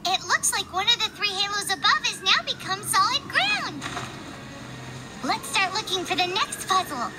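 Small chirpy floating sidekick for a video game,, extremely high and childlike, expressive, noisy backdrop. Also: female voice, moderate pace. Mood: amazed, eager. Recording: game audio with clear background noise.

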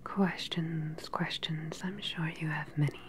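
A woman playing a calm, sinister cyborg scientist in an ASMR roleplay, speaking close to the mic in a slow, deliberate, soothing and clinical way. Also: deep voice, slight background noise. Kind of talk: ASMR soft-spoken whispered roleplay. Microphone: close ASMR microphone.

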